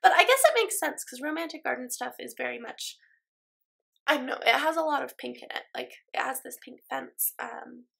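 A woman's voice: sits high, normal speed, creaky, fairly bright tone, breathy edge. A young woman with a stuffy nose, chatting casually over her game footage in a rambling way, full of ums and likes. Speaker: Sims speed-build YouTuber.

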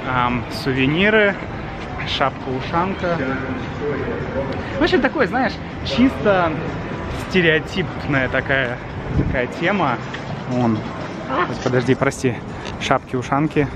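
A young man hosting a vlog, chatting excitedly on a city street and in a restaurant, filmed at arm's length. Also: a little high, very noisy. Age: young adult. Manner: excitable, exclaims loudly, explains slowly. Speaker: vlog host, Russian teacher. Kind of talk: casual chat.

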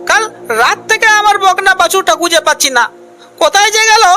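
A narrator voicing a distressed male villager in an animated folk tale, wailing and repeating words as he frets. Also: very high-pitched, fairly quick, music in the background, pitched above the performer's own voice. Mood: worried, wailing. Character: distressed male villager searching for lost calf, panting.

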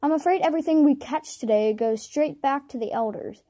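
Young-sounding woman as high-voiced cartoon warrior cat Graystripe. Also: a little fast, warm tone, natural voice. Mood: earnest. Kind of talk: amateur voice audition line reading.

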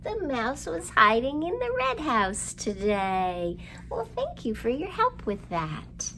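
Middle-aged woman, children's storytime reader, unhurried, expressive sing-song delivery, creaky edge, indoor room ambience. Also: high-pitched, a little hiss or hum. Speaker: children's library storytime host. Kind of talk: storytime rhymes and picture book reading for young children.

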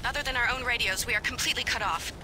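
A man's voice: extremely high pitch, very fast, over music. A man playing an anxious, dutiful junior soldier in a video game, nervously reporting. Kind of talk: dramatic video game acting. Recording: loud vehicle and ambient noise.

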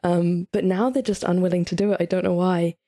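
A woman's voice: fairly low pitch, flat delivery, a little fast, dark tone. A twenty-two-year-old woman from England, a podcast guest chatting casually and laughing often.

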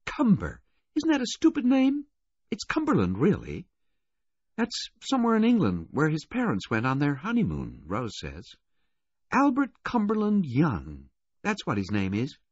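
A man's voice: a little high, wildly swooping pitch, measured pace, husky, dry recording, highs cut off, voice pushed up, heavily acted. A man voicing a sulky, bored rich teenage girl who blurts things out, in an audiobook story. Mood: confiding. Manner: disgruntled, blurting.

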